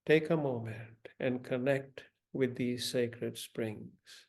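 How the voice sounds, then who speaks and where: A man leading a guided meditation in a gentle, deliberate manner, giving instructions with long pauses. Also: mid-range voice, steady tone, vocal fry.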